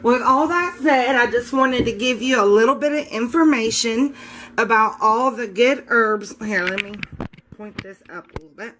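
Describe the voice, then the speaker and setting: A woman's voice: a little high, normal speed, fairly bright tone. Congested woman chatting casually. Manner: chatty, informal, a bit unsure, self-correcting. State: congested, scratchy voice. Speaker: home soap maker. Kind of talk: casual show-and-tell vlog. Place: home kitchen.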